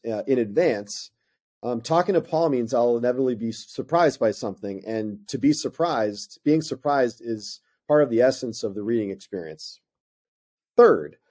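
Earnest Northern US man, mellow voice. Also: mid-range voice. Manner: earnest, restarts phrases often. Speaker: podcast co-host, high school English teacher. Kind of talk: reflective monologue.

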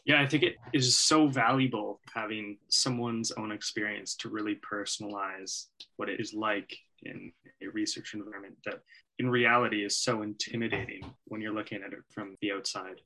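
A man's voice: on the low side, fairly even intonation, normal speed, pushed and bright, rough recording. A man co-hosting a podcast, putting prepared questions to a guest in a conversational way. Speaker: podcast co-host, interviewer.

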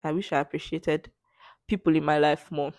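A woman's voice: low-pitched, fairly even intonation, moderate pace, darkish tone, no echo, studio quality. A young woman with a Nigerian English accent, host of a casual solo podcast, answering questions in a playful, sarcastic way. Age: young adult. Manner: sarcastic, playful, laughs often.

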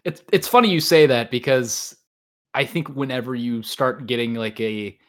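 A man's voice: mid-range voice, animated, normal speed, a bit bright. A man hosting a podcast in casual chat, talking in a rambling, informal and self-deprecating way. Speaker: podcast host.

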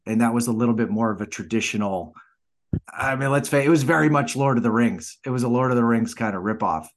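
Halting man chatting on a film podcast. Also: medium pitch. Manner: halting, repeats words while searching. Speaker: podcast co-host. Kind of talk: casual film chat with insider anecdotes.

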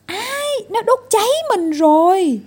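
A woman's voice: very high-pitched, lively intonation, slow, a little mellow, voice pushed up, exaggerated voice. A woman voicing a whiny, stubborn little boy in a children's storybook, yelping and complaining about pain.

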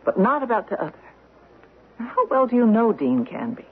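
An actor playing an inquisitive, uneasy young American wife in a radio drama. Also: male voice, high-pitched, very animated, fairly quick, breathy edge, heavily acted. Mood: uneasy, probing. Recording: tinny, faintly noisy old radio broadcast.

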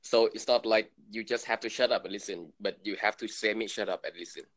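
A man in his late twenties, from Thailand, co-hosting a podcast in relaxed chat full of fillers and laughs. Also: lowish voice, a little fast. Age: 20s. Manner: loose, laughing, lots of fillers. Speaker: podcast co-host. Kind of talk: casual chat.

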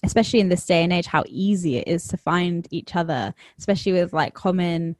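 A woman with a London accent hosting a podcast interview, speaking in a measured, thoughtful way with some hesitant fillers. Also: on the low side, no echo. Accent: London English. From England. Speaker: podcast host.

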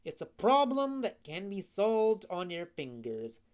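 A reader voicing a sly, smooth-talking fox swindler in an audiobook, persuasive and coaxing. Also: medium pitch, sing-song, measured pace, highs cut off, pitched above the performer's own voice. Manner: persuasive, coaxing, mock-offended. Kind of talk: audiobook reading.

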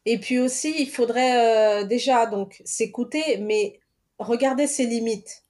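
A woman co-host in casual conversation, calmly explaining travel habits with examples. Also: fairly high pitch, unhurried. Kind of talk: casual podcast chat.

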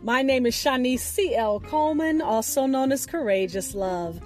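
A woman hosting a radio show, reading a scripted introduction in a theatrical, drawn-out and emphatic way. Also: high-pitched, lively intonation, darkish tone. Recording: music bed under the voice. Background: music.

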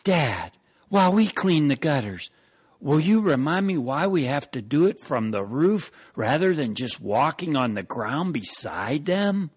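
A man's voice: fairly high pitch, big pitch swings, a little slow, husky, heavily acted. A man playing an inquisitive, doubtful young son who questions and whines in a comedy radio sketch. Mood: puzzled, protesting. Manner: questioning, whiny protest.

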